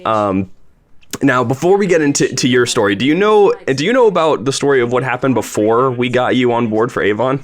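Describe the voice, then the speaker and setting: A man's voice: mid-range voice, expressive, brisk. A man chatting casually and enthusiastically as he hosts a discussion and interviews a cast member. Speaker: host, voice actor and producer of an audio series.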